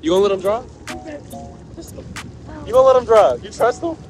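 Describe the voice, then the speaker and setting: A man's voice: sits high, animated, fairly quick, music in the background. A young man vlogging on a jet ski, teasing and joking in slangy casual talk, filmed on a handheld action camera outdoors. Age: young adult. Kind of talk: casual vlog chat.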